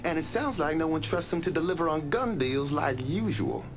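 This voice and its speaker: An actor playing a cheeky, chatty small-time crook in a video game cutscene, slangy and joking. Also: male voice, a little high, big pitch swings, moderate pace, music in the background. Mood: cocky, amused. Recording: roomy echo, faint background noise.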